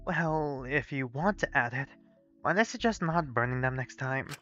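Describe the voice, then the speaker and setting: Man voicing a soft-spoken, shy young character. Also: medium pitch, lively intonation, normal speed, lo-fi sound, soft background music, lightly acted. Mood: sassy. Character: gentle, quietly sassy shy young story character. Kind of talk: acted story dialogue.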